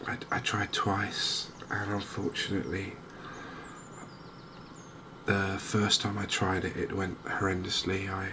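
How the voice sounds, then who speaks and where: A man giving softly spoken ASMR commentary on a game, hushed, hesitant and unhurried, close to the microphone. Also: low-pitched, monotone. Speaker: gaming video host. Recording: clear background noise.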